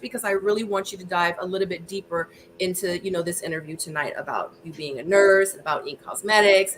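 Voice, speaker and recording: A woman hosting her live stream, greeting the chat and hyping up her guest. Her voice is bright and clear, with a faint background hiss. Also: lowish voice. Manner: chatty, hypes up the chat. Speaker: livestream interview host. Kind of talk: casual livestream chat.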